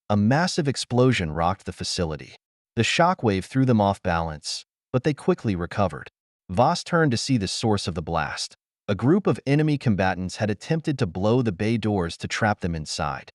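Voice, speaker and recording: A man's voice: lowish voice, sing-song, normal speed, dry recording, clean studio sound. A man reading a science fiction adventure story as an audiobook narrator, in a dramatic, earnest manner.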